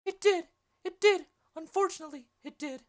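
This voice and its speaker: A woman voicing an old female nurse with a shrill, wailing voice in a play reading. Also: moderate pace. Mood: distraught.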